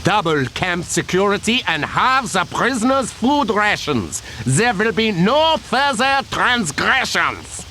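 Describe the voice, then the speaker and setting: An actor playing a haughty German general in a video game, formal and threatening, with a German accent. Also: male voice, high voice, very animated, bright, forceful tone, a little hiss or hum, heavily acted. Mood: cold menace. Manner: formal, slow, threatening, commanding. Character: haughty menacing German general addressing prisoners. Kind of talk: video game cutscene acting.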